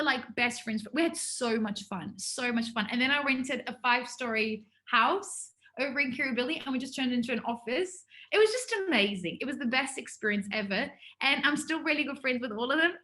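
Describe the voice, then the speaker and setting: A woman's voice: sits high, pushed and bright. A woman giving an informal business workshop to students, talking in a conversational, enthusiastic way.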